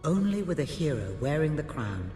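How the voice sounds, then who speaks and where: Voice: mid-range voice, big pitch swings, normal speed, close to an everyday voice. An actor playing a solemn, cryptic mentor in a video game, speaking prophecies of doom. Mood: stern, foreboding. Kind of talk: dramatic video game cutscene dialogue. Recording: echoey hall reverb, music behind.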